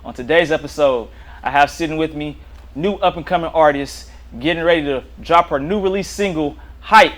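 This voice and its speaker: A man's voice: a little high, moderate pace, a bit bright. A man hosts a casual interview podcast with a laid-back, easygoing delivery. He tends to repeat his phrases as he reacts and asks questions. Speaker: podcast host.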